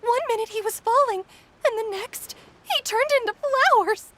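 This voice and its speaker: A woman playing an amazed, excitable audience member in a video game. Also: very high-pitched, moderate pace, background noise.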